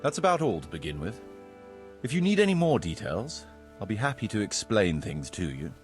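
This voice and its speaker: An actor playing a courteous, businesslike medieval village steward in a video game, explaining duties in a measured, formal way with a slightly husky voice. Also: male voice, on the low side, very animated, faint music behind.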